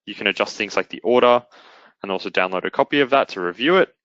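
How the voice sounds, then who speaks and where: Quick-talking man presenting a software demo. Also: lowish voice, no room sound, highs cut off. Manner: instructional, step-by-step. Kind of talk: explanatory webinar demo narration.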